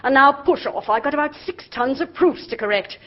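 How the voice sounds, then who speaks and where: A hearty, bossy middle-aged aunt in a radio play, barking orders in a brisk, slightly high voice. The recording is somewhat dull. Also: female voice, lively intonation. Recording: dull lo-fi audio.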